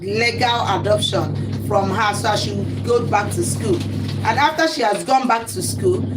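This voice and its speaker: A woman's voice: fairly high pitch, rough recording. A woman hosting a chatty live stream, talking to viewers and repeating calls to like and subscribe. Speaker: host. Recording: loud background noise with passing vehicles.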